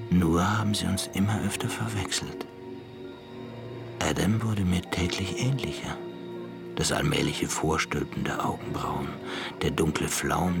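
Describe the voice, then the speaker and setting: A male actor playing a thoughtful, brooding half-ape who looks back in hushed, measured radio drama narration with a gravelly voice. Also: exaggerated voice. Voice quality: gravelly, breathy low voice.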